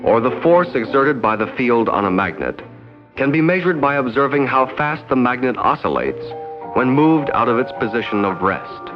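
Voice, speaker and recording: An American man narrating a 1960s educational film in a formal, measured, no-nonsense way. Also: medium pitch, animated, music in the background. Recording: dull 1960s 16mm film soundtrack.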